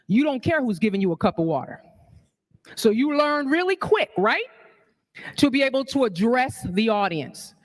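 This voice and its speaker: A woman in her sixties, from New York, speaks at a community forum with passion and conviction. She works the room with rhetorical questions and draws the audience in. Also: sits high. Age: 60s. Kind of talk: public speech at a community forum.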